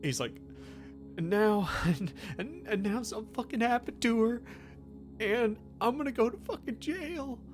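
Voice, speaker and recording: A man's voice: very high voice, lively intonation, soft background music, pitched above the performer's own voice. A man playing a distraught, stammering young guy. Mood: tearful panic. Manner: halting, stammering, slangy and sweary. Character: distraught, guilty young man confessing under questioning.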